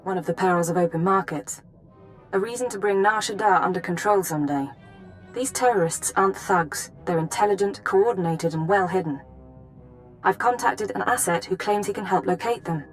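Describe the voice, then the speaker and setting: A woman's voice: mid-range voice, normal speed, soft background music, lightly acted. A woman playing a composed intelligence handler, giving a clipped, formal briefing in a video game. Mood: composed, businesslike.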